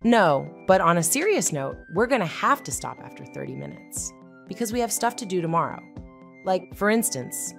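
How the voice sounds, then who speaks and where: A woman plays a confident young team leader in a hero cartoon. Her voice is low with a gravelly fry and swings expressively up and down as she looks after her crew. Music plays underneath. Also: very animated, moderate pace. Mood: earnest, encouraging. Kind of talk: cartoon comic dub acting.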